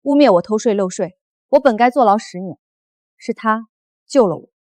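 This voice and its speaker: A wealthy woman boss from a short drama, acted by a woman. She is commanding and protective, with a slightly high voice and cool, unyielding authority. The sound is dry and close. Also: lively intonation, normal speed, dark tone, no echo, lightly acted. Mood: matter-of-fact, firm.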